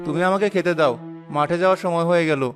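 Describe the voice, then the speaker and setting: A man's voice: on the high side, steady tone, fast, over music, natural voice. A man playing a meek farmer husband and elder brother in a cartoon story, mild and conciliatory. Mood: placating. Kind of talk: melodramatic cartoon story dialogue.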